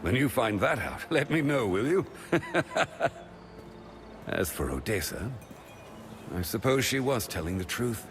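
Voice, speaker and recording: An actor playing a smug, pragmatic official in a fantasy game, speaking in a wry, knowing, confiding way. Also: male voice, lowish voice, sing-song, a little slow, pauses often, a little hiss or hum. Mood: wry, reassuring. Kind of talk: dramatic fantasy game acting. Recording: music behind the voice.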